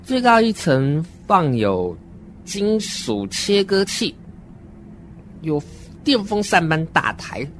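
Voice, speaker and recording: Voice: medium pitch, sing-song, slow pace, long pauses, a little hiss or hum. An adult performer voicing a naive, uneasy, wordy seven-year-old child who narrates a horror radio drama in an earnest, dramatic way.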